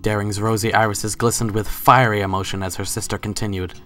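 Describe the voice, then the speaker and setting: A man's voice: lowish voice, little pitch movement, fairly quick, pushed and bright, faint music behind. A man reading scripted third-person story narration as the narrator of a dramatic reading.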